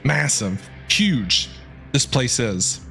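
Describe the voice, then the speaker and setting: A woman's voice: a bass voice, sing-song, drawn-out words, gravelly, fairly bright tone. A woman streaming a game with casual, chatty live reactions, talking back to the characters over faint game music.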